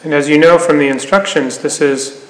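A man teaching a guided meditation in an unhurried way, with long pauses between phrases. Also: on the high side, steady tone. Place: meditation hall. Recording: echoey hall, noticeable reverb, faint noise.

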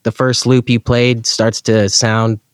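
A man with a clear, smooth voice talks casually as an interview guest. He sounds like a laid-back enthusiast, full of slang. The sound is dry, with no room around him. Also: mid-range voice, little pitch movement, slow pace, no room sound. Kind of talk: casual chat.

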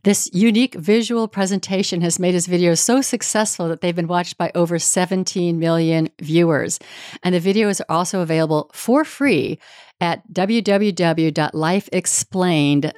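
A woman's voice: fairly low pitch, moderate pace, dry recording, studio quality. A woman hosting a spiritual podcast, introducing and questioning her guest enthusiastically. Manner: enthusiastic, warm, effusive. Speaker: podcast host, reverend. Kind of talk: podcast interview.

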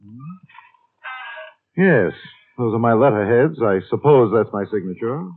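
An actor playing a busy American doctor in a 1950s radio drama, polite but guarded and noncommittal. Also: male voice, medium pitch, animated, measured pace, slight background noise, close to an everyday voice. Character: busy, guarded male physician. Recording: tinny narrow-band vintage radio recording.

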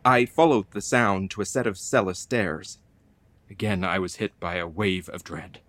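An actor playing a frightened ex-military delivery courier, telling a horror story in a theatrical, deliberate, suspenseful way. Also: male voice, low-pitched, fairly bright tone. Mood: hushed dread. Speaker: narrating lead actor. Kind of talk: dramatic first-person horror audio play narration.

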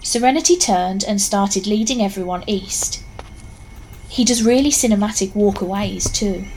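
A woman's voice: medium pitch, normal speed, darkish tone, slight background noise, poor audio. A woman narrating a scripted story in a wry, conversational way with knowing asides.

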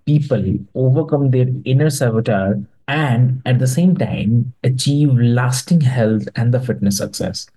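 A man's voice: mid-range voice, unhurried, hardly any pauses, dark tone, slightly rough, rough recording. A man hosting a podcast interview, speaking hesitantly with many fillers and restarts. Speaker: podcast host.

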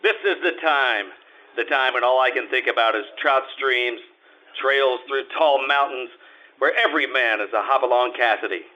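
Man as a wisecracking hard-boiled private eye, laconic, mid-pitched, slightly muffled. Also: normal speed, natural voice. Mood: wistful. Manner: dry wisecracks, laconic first-person narration. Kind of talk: 1950s radio drama acting.

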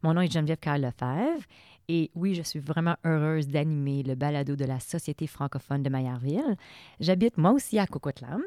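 A woman's voice: sits low, brisk, mellow, dry recording, clean studio sound. A woman with a Québécois accent hosting a podcast around a table, upbeat and welcoming, laughing easily. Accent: Québécois French. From Québec. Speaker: podcast host. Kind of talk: casual podcast chat.

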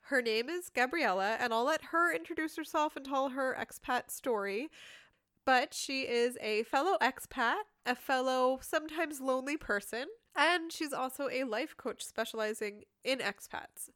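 A woman with a fairly high voice hosts a podcast, chatting casually and rambling with frequent giggles. She is recorded close and dry, with no room sound around her. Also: normal speed, fairly bright tone, no room sound. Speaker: podcast host.

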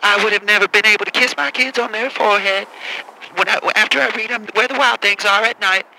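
A man's voice: high voice, steady tone, fast, vocal fry, sharp tone, slight background noise, heavily acted, low-quality recording. A man playing a pious, rambling preacher who speaks nervously and politely. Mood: earnest. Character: earnest, rambling male preacher promoting his book. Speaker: pastor and author.

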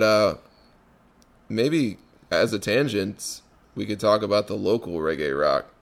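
A laid-back man hosting a podcast and chatting easily with his guest. His voice sits fairly low, and he fills his pauses with ums as he talks his way through each question. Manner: relaxed, many ums and restarts. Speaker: podcast host. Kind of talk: casual interview chat.

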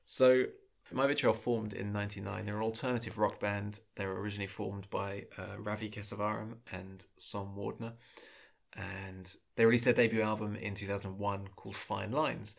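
Gravelly male host, casual and chatty, a bit self-deprecating. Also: fairly low pitch, little pitch movement, normal speed. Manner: chatty, self-deprecating, many small restarts. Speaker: podcast host. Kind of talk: casual chat and interview questions.

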